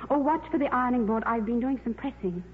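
An actress playing a caring young stewardess in a 1950s radio drama, earnest and gentle with a slight hesitance and quiet determination. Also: female voice, on the high side, fast talker, natural voice. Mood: gentle concern. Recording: reverberant, tinny, noisy old radio broadcast.

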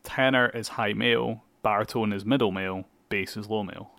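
A man's voice: on the low side, a little fast, vocal fry, fairly bright tone, dry recording. A young man co-hosting a podcast, chatting casually about music, swearing freely and explaining things at length. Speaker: podcast co-host. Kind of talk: casual chat.

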